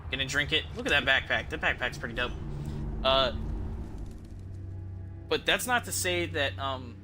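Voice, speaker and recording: A man's voice: mid-range voice, expressive, normal speed. A man giving casual live commentary as a video game streamer, rambling informally and repeating himself. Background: game music and sounds.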